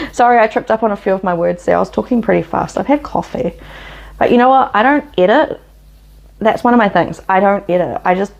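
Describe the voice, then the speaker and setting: A woman's voice: medium pitch, vocal fry, a little mellow. A woman with a New Zealand accent, hosting her history channel and telling the story in an opinionated, chatty way with frequent laughs.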